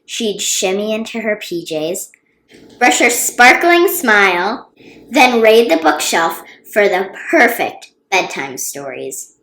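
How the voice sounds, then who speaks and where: Woman reading storybooks with lively, swooping pitch. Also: slow. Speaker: children's book reader and channel host. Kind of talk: expressive storybook read-aloud. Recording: roomy, echoey sound.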